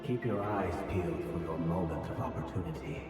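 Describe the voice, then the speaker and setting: A man playing a cryptic, manipulative voice inside someone's head in a video game, calm and portentous, drenched in echo. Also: low-pitched, fairly quick. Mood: cryptic, insistent. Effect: heavy echoing reverb. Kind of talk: dramatic video game acting. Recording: distant echoing hall, loud music.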